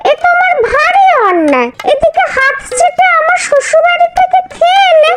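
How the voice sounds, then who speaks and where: A woman's voice: extremely high pitch, very animated, normal speed. A woman voicing a dutiful young married daughter in a cartoon, earnest and defensive of her in-laws. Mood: indignant. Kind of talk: cartoon family comedy acting. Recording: loud background music under voice.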